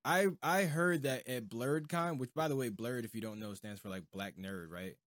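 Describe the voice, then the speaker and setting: A man talks as a podcast host in a loose, informal way. His voice sits at an average pitch and is recorded very dry, with little room sound. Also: normal speed, a bit bright, dry recording. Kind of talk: casual podcast chat.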